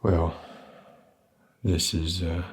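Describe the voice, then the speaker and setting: A man playing a gruff but tender, weary middle-aged father figure in a soft-spoken ASMR roleplay, with a husky voice and a gentle, halting drawl. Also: little pitch movement, exaggerated voice. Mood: tender, hesitant. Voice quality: husky, low.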